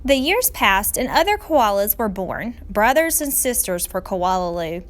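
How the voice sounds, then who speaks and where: A woman reading a children's storybook aloud like a teacher, with expressive, deliberate, dramatic phrasing for young listeners. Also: medium pitch.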